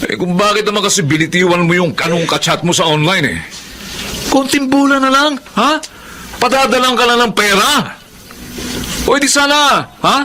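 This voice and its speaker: A man's voice: high voice, expressive, moderate pace. An actor playing a lazy, boastful young man who gambles, scams and threatens his partner, in a radio drama. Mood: mocking. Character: hot-tempered lazy young man, small-time scammer and gambler. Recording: clear background noise.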